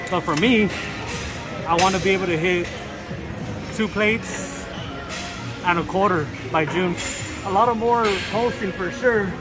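A man's voice: high voice, a little slow, pauses often, music in the background. A man vlogging casually with a friend in a large gym, joking and swearing, laughing often.

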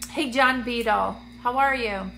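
A woman, a medium hosting a phone live stream from a dim room, chatty and full of ums, sounding congested and a little raspy. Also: a little high, sharp tone, slight background noise. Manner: chatty, greets viewers by name, many ums. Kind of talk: casual livestream chat to viewers. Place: dim indoor room, seated on a couch. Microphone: phone camera at arm's length.

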